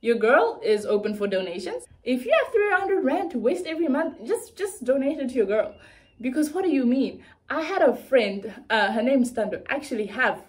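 A young woman from South Africa giving casual, chatty advice to viewers in a playful, animated way. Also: sits high, moderate pace. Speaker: fitness vlogger.

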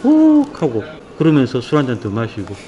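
A man's voice: medium pitch, wildly swooping pitch, moderate pace, dark tone, highs cut off, slight background noise. A man in his fifties with a Gyeongsang accent, asking blunt, teasing questions and giving advice in a casual interview. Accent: Gyeongsang Korean. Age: 50s. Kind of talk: casual street interview chat.

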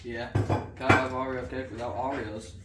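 A young man speaks in a mid-pitched, dry, teasing way while tasting food at home. The echoey kitchen rings around him, with loud clatter of cutlery and dishes. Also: level tone, normal speed, heavy background noise. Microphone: far camera.

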